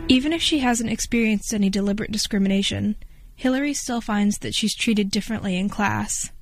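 Female reporter, scripted narration, mid-pitched, dead dry studio sound. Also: no room sound, soft background music. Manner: steady, measured storytelling. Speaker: radio reporter.

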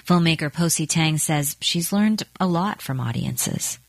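American woman doing a radio news interview, pretty deep voice, dry sound. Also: animated, unhurried, vocal fry, no echo.

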